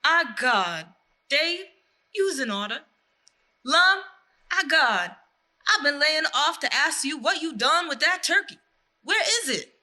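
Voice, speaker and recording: A high, piercing, exasperated Southern town boss, played by a woman. He scolds and demands answers in broad country stage dialect, with big swings in the voice. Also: sing-song, takes it slowly, long pauses, sharp tone, full character voice. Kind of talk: theatrical dialect comedy.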